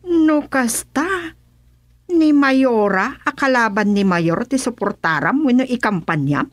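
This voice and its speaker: High, animated Filipino gossiping councilwoman played by an actress. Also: slow pace, no room sound. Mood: probing. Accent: Filipino, Ilocano. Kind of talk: Ilocano radio comedy-drama acting.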